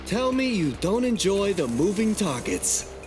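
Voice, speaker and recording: Taunting, playful killer for a sci-fi dub, male actor, high for a man, with swinging intonation over light music. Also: big pitch swings, unhurried. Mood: taunting, amused. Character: cocky, taunting male assassin who relishes fighting. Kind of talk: acted fan dub of a visual novel.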